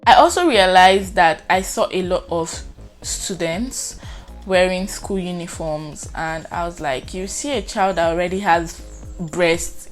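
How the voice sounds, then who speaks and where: Brief: young Nigerian-accented woman, lowish voice, lively vlog chat, faint music under. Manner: chatty and expressive, acts out her reactions. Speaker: vlogger. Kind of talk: casual vlog storytelling.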